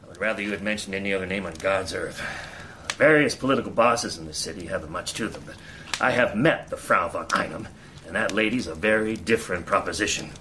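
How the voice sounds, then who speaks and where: An actor playing a shrewd, folksy fixer and spymaster, in a radio drama. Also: male voice, deep voice, fast, sharp tone, a little hiss or hum. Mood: wry.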